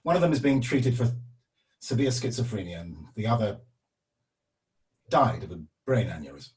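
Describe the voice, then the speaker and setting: An actor playing a grim, defensive realist who delivers bad news in a dramatic script read. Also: male voice, lowish voice, very animated, normal speed, a little mellow, poor audio. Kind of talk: table read of a screenplay, dramatic dialogue.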